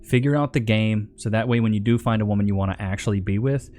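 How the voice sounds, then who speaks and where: A man's voice: lowish voice, fairly even intonation, fast, darkish tone. A man hosting a reaction video, talking casually and emphatically in slang. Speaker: online video host reacting to clips. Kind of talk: casual streamer commentary.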